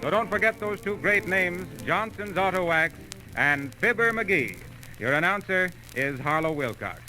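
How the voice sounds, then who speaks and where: A man's voice: sits high, lively intonation, measured pace, sharp tone, rough recording. A man reading sponsor announcements in 1940s radio style, with some music behind him.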